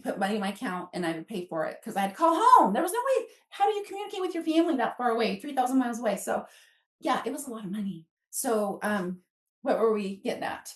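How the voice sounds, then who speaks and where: A woman's voice: a little high, fast talker. A middle-aged woman chatting casually as a podcast guest, telling her own story and acting out remembered dialogue. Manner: animated retelling with quoted dialogue. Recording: roomy, echoing room sound.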